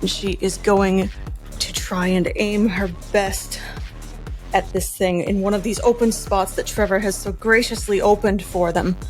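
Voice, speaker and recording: A woman's voice: mid-range voice, fairly even intonation, measured pace, faint music behind, poor audio. A woman playing a tabletop game, describing her character's actions in vivid detail. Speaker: player.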